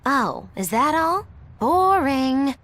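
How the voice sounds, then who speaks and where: A high, teasing, mischievous girl. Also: very animated, slow pace, fairly bright tone, full character voice. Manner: playful, teasing. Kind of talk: English anime game dub acting.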